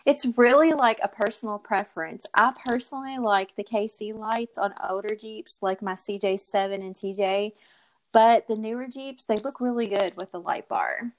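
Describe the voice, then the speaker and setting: A woman co-hosting a casual podcast, friendly and upbeat, with quick agreeing interjections. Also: mid-range voice, poor audio. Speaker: co-host.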